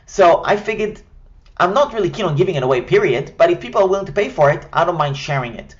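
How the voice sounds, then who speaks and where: A man's voice: high voice, expressive, fast, dull audio. A man from Israel, a native Hebrew speaker with an Israeli accent, giving a scripted, step-by-step tutorial on building an online course. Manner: structured, step-by-step, direct.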